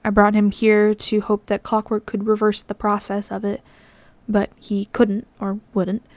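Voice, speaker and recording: A woman voicing an earnest young half-ghost man who explains himself slowly and gently in a storybook reading. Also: medium pitch, completely flat delivery, dry recording, natural voice. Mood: grave, regretful.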